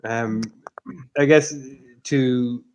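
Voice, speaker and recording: A man, speaking into a headset on a video call, reflecting on a film in a steady, thoughtful way. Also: medium pitch, very slow, a little hiss or hum, poor audio. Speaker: film producer. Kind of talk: casual interview answers. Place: indoor room at home.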